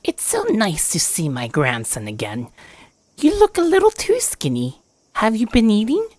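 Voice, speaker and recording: A woman's voice: medium pitch, wildly swooping pitch, normal speed, darkish tone, no echo, voice pushed up. A woman voicing a doting, fussy elderly grandmother in a dramatized horror story. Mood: doting, fussing.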